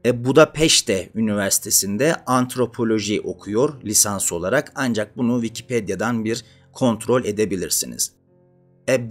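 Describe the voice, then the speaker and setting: A man, hosting a conversational educational talk on social science with emphatic phrasing. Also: mid-range voice. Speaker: host of a social science channel. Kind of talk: conversational educational lecture to camera. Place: indoor studio with dark curtain backdrop.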